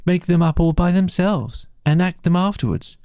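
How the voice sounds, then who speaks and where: A man's voice: high voice, expressive, moderate pace, warm tone, clear, resonant tone, no room sound, clean studio sound, voice pushed up. A man voicing an eager, sociable, fretful mole in a storybook, with a flustered, slightly stammering manner. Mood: proud, chatty.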